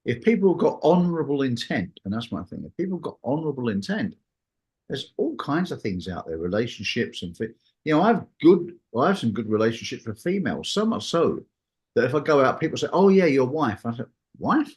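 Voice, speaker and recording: A man talks casually in a discussion, his pitch swinging widely as he goes. He rambles through anecdotes and slips into reported dialogue. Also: big pitch swings, fairly quick, darkish tone.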